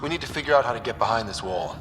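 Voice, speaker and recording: A man's voice: medium pitch, animated, racing, lightly acted. An actor playing a young, earnest and curious male explorer leading a team in a video game. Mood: focused. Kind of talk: video game dialogue acting. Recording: loud game music and effects behind.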